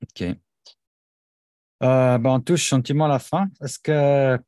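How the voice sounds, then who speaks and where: A man's voice: medium pitch, fairly even intonation, takes it slowly, mellow, no room sound, clean studio sound. A man hosting an interview in French, a native German speaker, chatting and reacting to his guest. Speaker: podcast host. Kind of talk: conversational interview.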